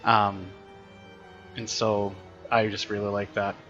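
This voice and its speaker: A man, a teacher, chatting casually while playing a role-playing game, thinking aloud with frequent restarts and a slightly raspy voice. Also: lowish voice, flat delivery, slow pace, a bit bright, lo-fi sound, soft background music. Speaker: teacher streaming games. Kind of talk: casual gameplay commentary.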